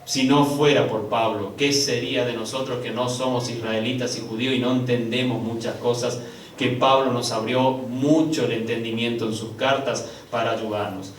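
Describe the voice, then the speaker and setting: A man preaching a sermon to his congregation, calling them brothers and swinging from loud, high, drawn-out emphasis to hushed low passages. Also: steady tone, a little hiss or hum. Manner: addresses congregation as brothers, slow drawn-out stresses. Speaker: pastor. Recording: echoey room, picked up from a distance.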